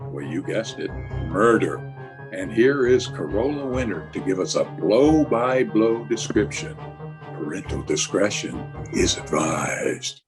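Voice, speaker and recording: A man playing a ghoulish, wisecracking old-time radio horror host with a sinister, punning, theatrical manner. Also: fairly low pitch.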